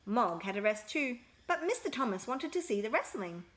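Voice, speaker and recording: A woman reading a children's picture book aloud with expressive, emphatic storytelling. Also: on the high side, moderate pace, slight background noise.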